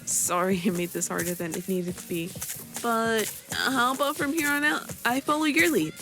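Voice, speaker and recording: A woman's voice: a little high, moderate pace, bright, forceful tone. A woman voicing a sweet, flustered young employer who stammers sheepishly and is eager to please, in a scripted roleplay. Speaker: virtual streamer voicing her persona. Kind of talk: scripted roleplay addressed to the listener.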